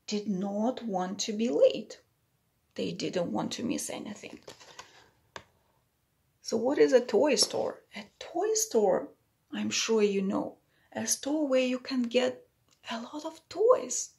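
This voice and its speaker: A woman's voice: a little high, vocal fry. A middle-aged woman reading a picture book aloud to young children, explaining it simply and putting questions to the listeners. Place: small plain room indoors.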